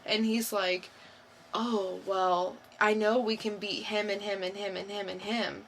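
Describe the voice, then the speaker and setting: A woman with a bright, forward voice talks at an unhurried pace as a podcast co-host. She feels her way through a casual review, often unsure and thinking out loud. There is faint noise behind her. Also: medium pitch, flat delivery, poor audio. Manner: halting, unsure, thinking aloud. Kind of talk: casual, unscripted review chat.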